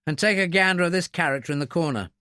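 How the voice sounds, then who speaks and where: A man's voice: a little high, fast talker, pushed and bright, no echo, pitched above the performer's own voice. A man voicing a weary, steely army captain in an audiobook, with a curt, dry, firm delivery. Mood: curt, patient.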